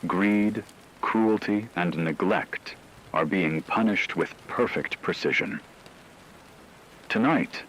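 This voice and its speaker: Man playing a deep, grave radio horror reporter. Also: fairly bright tone, slight background noise, full character voice, low-quality recording. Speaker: radio news anchor. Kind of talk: scripted dramatic radio news narration.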